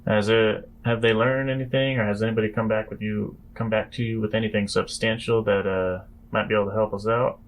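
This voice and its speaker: A man's voice: fairly low pitch, little pitch movement, fairly quick, hardly any pauses, low-quality recording. A man playing a tabletop game, asking questions in character in a conversational, tentative way and circling back over his phrases.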